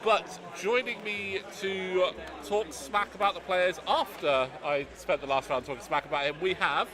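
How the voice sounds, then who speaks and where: A British man calls a tabletop tournament live, his voice pitched a little high and projected loudly so it carries. He is chatty and quick to poke fun at himself. A lively crowd murmurs clearly behind him. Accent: British English. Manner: loud and chatty, self-deprecating. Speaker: tournament commentator and host. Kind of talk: live game commentary. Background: crowd chatter of a tournament hall.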